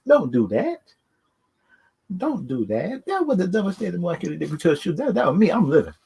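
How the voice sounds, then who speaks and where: A man's voice: sits high, sing-song, a little fast, vocal fry, mellow, husky. A man giving casual, unscripted opinions as a video host, rambling and often repeating words and stock phrases. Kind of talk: casual unscripted opinion talk on films and games.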